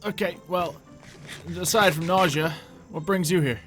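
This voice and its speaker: A man's voice: high voice, normal speed, fairly bright tone. A man doing casual, improvised Minecraft roleplay commentary in an offhand, playful way. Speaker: gaming video creator. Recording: music under the voice. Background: game music.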